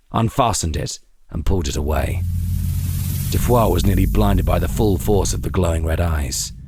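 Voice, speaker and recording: Male narrator for dramatic audio fiction. Deep register, raspy edge, deliberate suspense, dry studio-style sound. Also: a little mellow, dry recording. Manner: measured, suspenseful storytelling.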